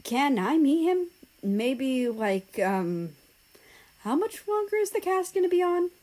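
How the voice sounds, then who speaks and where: A woman voicing brash, nosy teenage friends in a story, blunt, excitable and gossipy. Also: sits high, slow pace, voice pushed up, exaggerated voice. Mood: eager, teasing.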